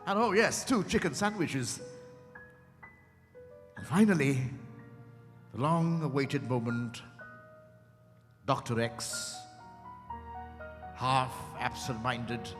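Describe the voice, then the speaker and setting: Genteel male storyteller acted by a man, unhurried with dramatic pauses, stage reading in a reverberant hall over music. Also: mid-range voice, a bit bright. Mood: measured, theatrical. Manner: measured, savoring details, dramatic pauses. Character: genteel man recounting a tense visit. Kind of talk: dramatized first-person storytelling.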